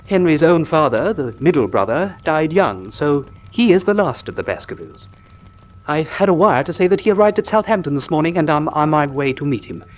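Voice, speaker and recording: A male actor playing an earnest, anxious English doctor in a radio drama, speaking formally and a little hesitantly. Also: a little high. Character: Doctor Mortimer, earnest, anxious country doctor and man of science.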